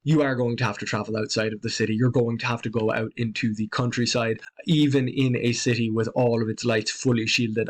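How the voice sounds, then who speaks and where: A man with an Irish accent calmly explaining the night sky in a conversational, educational voice-over. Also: mid-range voice. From Ireland. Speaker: astronomy presenter.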